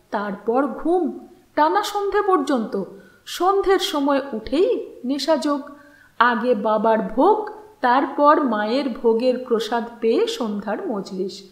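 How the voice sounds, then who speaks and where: A woman narrating a literary story as an audiobook storyteller, with expressive, measured and dramatic delivery and deliberate phrasing. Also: high voice. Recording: roomy, echoing voice recording.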